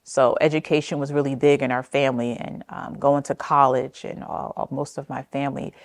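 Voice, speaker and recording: Low-voiced New woman, unhurried. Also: flat delivery, dry recording. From New Jersey. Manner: gentle and unhurried, with frequent "um" and soft trailing phrases. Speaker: oral history interviewee. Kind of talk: reflective personal storytelling.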